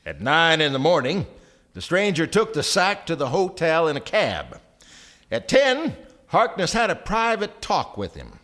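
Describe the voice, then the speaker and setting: A man's voice: a little high, wildly swooping pitch, unhurried, a bit bright. A man narrating a story theatrically on stage, with precise, declamatory delivery. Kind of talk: theatrical storytelling before a live audience.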